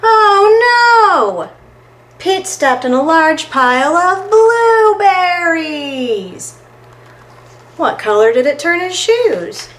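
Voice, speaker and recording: A woman reading a children's picture book aloud in an expressive, deliberate, singsong storytime manner. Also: extremely high pitch. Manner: expressive, deliberate, singsong delivery with dramatic exclamations.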